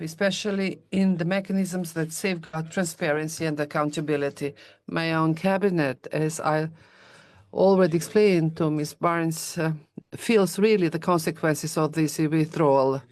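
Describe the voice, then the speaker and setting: A woman's voice: on the low side, darkish tone, no room sound. A woman interpreting a formal speech, with frequent fillers and self-corrections.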